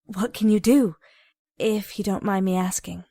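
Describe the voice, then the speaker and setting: A woman's voice: medium pitch, fairly even intonation, unhurried, mellow, no echo, clean studio sound. A young woman playing a nervous, hesitant teenage newcomer in an audio drama. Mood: curious. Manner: hesitant, reflective. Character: nervous teenage girl newly arrived at a mutant school.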